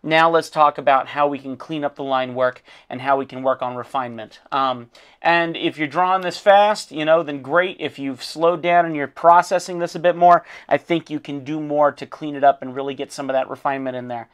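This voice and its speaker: A hoarse man who has lost his voice, an art teacher critiquing a student's drawing in a casual, encouraging way with frequent fillers. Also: a little high, steady tone, a little fast. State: losing his voice.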